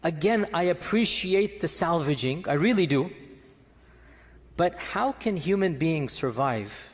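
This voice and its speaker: A man with a slightly high voice asks calm, measured questions. His voice carries a long reverberant tail.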